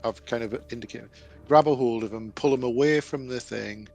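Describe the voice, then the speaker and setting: A Yorkshire man with a gravelly edge to his mid-pitched voice, stumbling and restarting as he plans moves in a tabletop game. He's playful and loose. Faint music runs behind him. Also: moderate pace, darkish tone. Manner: hesitant, restarts often, playful. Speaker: player.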